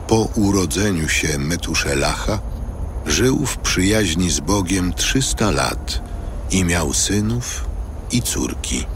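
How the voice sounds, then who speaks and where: A male narrator with a deep voice reads scripture in a solemn, measured way. His delivery is slow, and clear ambient noise runs behind him. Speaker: narrator of an audio Bible.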